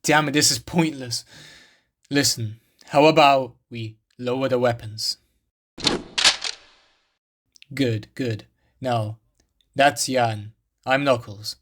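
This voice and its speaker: A man's voice: mid-range voice, fairly even intonation, takes it slowly, lightly acted. A man acting as a protective, plain-spoken wasteland survivor in game cutscene dialogue. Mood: confrontational, then conciliatory.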